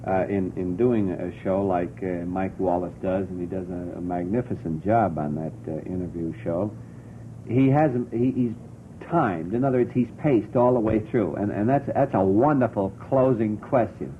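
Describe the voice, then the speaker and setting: A man hosting an ad-lib late-night radio talk show, conversational and courteous, moving between chat and live ad reads. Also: moderate pace. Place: radio studio. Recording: muffled 1950s radio broadcast, noisy, rumbling.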